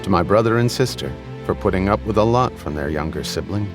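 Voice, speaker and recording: Deep-voiced American male audiobook narrator. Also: animated, fairly quick, music in the background. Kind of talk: scripted dramatic audiobook narration.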